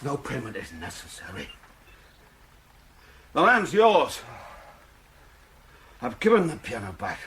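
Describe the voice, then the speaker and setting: An actor in an audio drama playing a lovesick, unwell settler, stubborn and halting. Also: male voice, mid-range voice, huge pitch swings, a bit bright, heavily acted. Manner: halting, terse.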